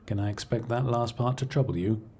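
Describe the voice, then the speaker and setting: A man playing a cool, imperious scholar-agent in a fantasy audio roleplay, speaking in a formal, measured, dryly condescending way. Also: medium pitch, mellow, slight background noise, natural voice. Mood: cool, commanding. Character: cool, imperious male scholar-agent serving an arcane archivist patron. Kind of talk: scripted audio roleplay acting, addressing the listener.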